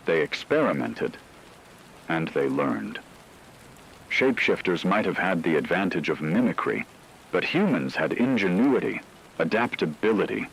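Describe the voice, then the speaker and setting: Male narrator for apocalyptic drama: deep register, grave measured read, light noise bed behind. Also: rough recording.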